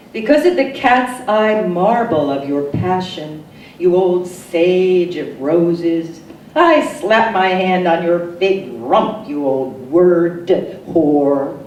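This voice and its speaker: Woman, poet, solemn dramatic recitation, very slow delivery, mellow dark tone, emphatic pauses, distant echoey recording. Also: fairly low pitch, animated. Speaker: poet reading own work. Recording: echoey, distant mic, noisy room.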